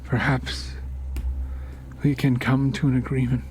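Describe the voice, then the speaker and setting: Man playing a slow, menacing old cult patriarch. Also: medium pitch, flat delivery, a little mellow, a little hiss or hum, voice pushed up, natural voice. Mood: sinister persuasion. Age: older. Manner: formal, unhurried, silkily courteous speech with deliberate pauses. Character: menacing wealthy old male cult leader, courteous and greedy. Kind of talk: horror audio story character.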